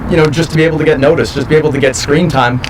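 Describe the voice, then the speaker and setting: A man's voice: a little high, steady tone, racing, distant mic, over a noisy crowd, low-quality recording. A male professional wrestler giving confident, boastful interview answers. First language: not French.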